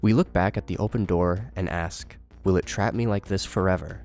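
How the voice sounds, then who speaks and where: A man with a low voice narrates and comments on a horror series from a script. Faint music runs behind his delivery. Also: normal speed, darkish tone.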